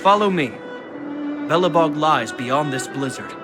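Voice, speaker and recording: An actor playing a stern, dutiful captain of the city guard in a video game, speaking formally and with command. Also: male voice, on the high side, expressive, unhurried. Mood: measured, solemn. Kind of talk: dramatic video game voice acting. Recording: music under the voice.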